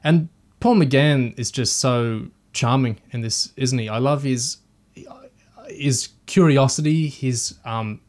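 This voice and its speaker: A man with a medium-pitched voice hosts a discussion podcast. He speaks as an engaged fan reviewer, explaining things to listeners and sharing his enthusiasm. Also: takes it slowly, darkish tone.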